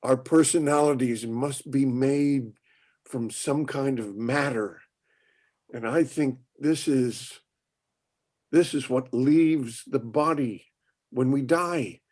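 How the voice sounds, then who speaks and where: A man playing a stubborn, musing inventor in his eighties, speaking haltingly in a play reading. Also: mid-range voice, a little mellow. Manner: halting, repeating words, ruminative. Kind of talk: acted dialogue in a play reading.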